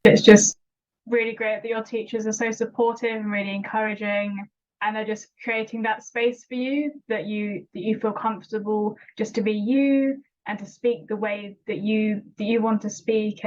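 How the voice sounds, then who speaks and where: A woman's voice: medium pitch, steady tone, normal speed, darkish tone. A young adult woman interviewing a guest in an encouraging tone. She stammers, repeats words and uses many fillers. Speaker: interviewer and regular vlogger. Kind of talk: supportive interview chat. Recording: dull lo-fi recording.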